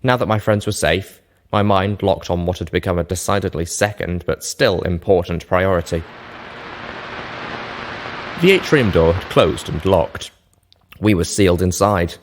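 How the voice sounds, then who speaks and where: A man with a low, deep voice reads scripted fiction in the first person. The narration is measured and expressive, like a storyteller hosting a reading show. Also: a little hiss or hum.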